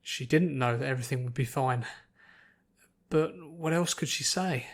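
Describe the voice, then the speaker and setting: Male audiobook narrator, average pitch, measured dramatic delivery of scripted fiction.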